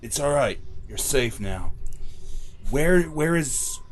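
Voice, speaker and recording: A man playing a tabletop game, describing his actions and speaking calmly in character. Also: medium pitch, slow, a little hiss or hum.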